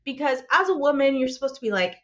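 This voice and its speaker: A woman talks earnestly in a casual podcast conversation, often checking in with "right?". Her voice sits a little high. The recording sounds dull and lo-fi. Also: expressive, fast. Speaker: podcast panelist.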